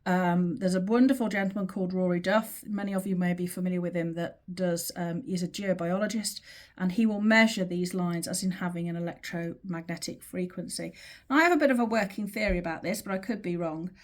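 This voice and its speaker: A woman teaching in an informal, explanatory way, with measured, emphatic phrasing and pauses as she talks through a drawing. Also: fairly low pitch. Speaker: teacher of earth energy dowsing.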